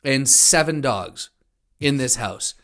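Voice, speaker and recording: A man's voice: mid-range voice, expressive, takes it slowly, fairly bright tone. A man from the United States co-hosting a casual podcast with easygoing, playful banter. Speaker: podcast co-host.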